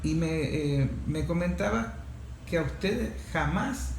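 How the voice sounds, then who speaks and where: Higher-voiced male interviewer, echoey room. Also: measured pace, vocal fry. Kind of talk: conversational interview. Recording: echoey room with faint background noise.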